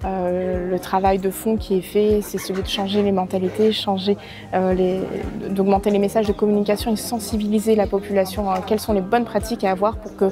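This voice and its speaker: A woman's voice: medium pitch, little pitch movement, a little fast, over music. A woman acting as a project spokesperson, explaining things to the press hesitantly and correcting herself.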